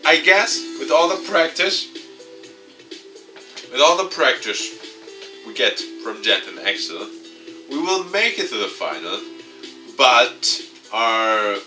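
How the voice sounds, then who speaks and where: A man's voice: mid-range voice, recorded from a distance. A man reading a visual novel aloud and commenting as he plays, as a gaming video host. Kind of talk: let's play, reading game text aloud.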